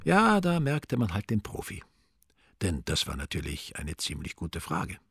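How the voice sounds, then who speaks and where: An actor playing a wry, sardonic narrator in a radio drama, with a dry, ironic delivery. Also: male voice, low voice, huge pitch swings, unhurried, background noise, full character voice. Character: wry, sardonic male narrator of a comic crime story.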